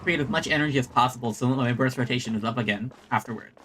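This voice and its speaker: A man giving casual live gameplay commentary, halting and often repeating his phrases. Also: mid-range voice, a bit bright, rough recording. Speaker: game streamer.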